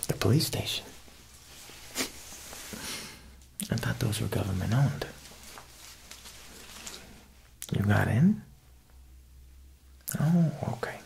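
A young man playing a smooth, quietly menacing Italian mob boss tailor in an ASMR roleplay, speaking softly and unhurriedly at a close mic, with insinuating pauses. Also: lowish voice, expressive, a little hiss or hum, rough recording. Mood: curious, probing. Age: young adult. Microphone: close ASMR microphone.

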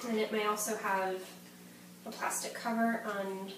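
A woman in her thirties giving a measured, step-by-step training demonstration in the manner of a methodical instructor. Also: mid-range voice, flat delivery. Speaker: medical device trainer. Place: small plain indoor room. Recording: echoey room, distant mic, background noise.

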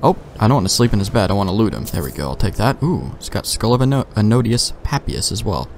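A man's voice: fairly low pitch, sing-song, quick pace, a little mellow, a little hiss or hum. A man giving casual, sweary let's play commentary, thinking aloud in a laid-back way. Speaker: gamer, let's play host.

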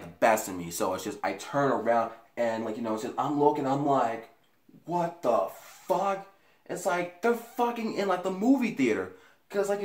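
A man's voice: medium pitch, a bit bright. A young American man telling a casual story to camera in a rambling, repetitive, profane way, recorded in a bedroom on a camera mic a few feet away. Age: young adult. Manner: rambling, profane, repeats himself. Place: small bedroom, indoors. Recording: echoey room.

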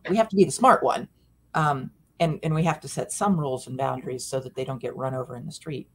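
A woman's voice: very deep voice, fast. An older woman, a dog behavior consultant, chatting earnestly and self-deprecatingly on a video call from a room at home. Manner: earnest, self-deprecating, gestures while talking. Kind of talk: casual video-call chat.

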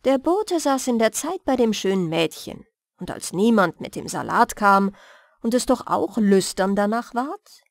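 A woman storyteller reading scripted bedtime fairy tales with expressive, careful phrasing. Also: medium pitch, a little slow, no room sound, studio quality. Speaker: storyteller, audiobook reader.

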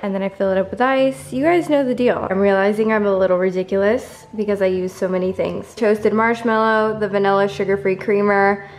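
A woman's voice: mid-range voice, fairly even intonation, brisk, few pauses. A bubbly woman in her late twenties gushes to camera in a casual vlog. She talks from her apartment living room, picked up by a camera set close in front of her. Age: 20s. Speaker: lifestyle vlogger.

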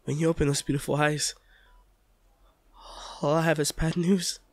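A man's voice: on the high side, flat delivery, dry recording, lightly acted. Man acting tender, anguished male lover, close and dry. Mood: grieving, tender. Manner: halting, stammering, emotional delivery. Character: tender, anguished male lover comforting an injured partner in hospital. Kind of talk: audio roleplay drama, one-sided dialogue.

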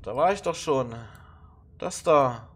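A man with a stuffy nose from a cold, musing haltingly through a puzzle game with plenty of fillers. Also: on the high side, lively intonation, husky. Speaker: let's play gamer. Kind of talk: casual puzzle game commentary.

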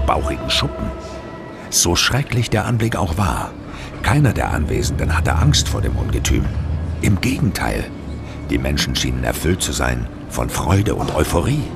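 Ein Mann erzählt mit rauer, knarrender Stimme dramatisch eine Horrorgeschichte als Hörspielerzähler. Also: male voice, rumbling low. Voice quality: gravelly. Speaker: narrator. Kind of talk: dramatic scripted horror audiobook narration. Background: loud music.

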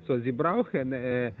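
A call center agent with a mid-pitched voice speaks in a halting way. He fills his sentences with pauses and restarts. His voice comes through a thin, tinny phone line. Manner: hesitant, halting, many fillers.

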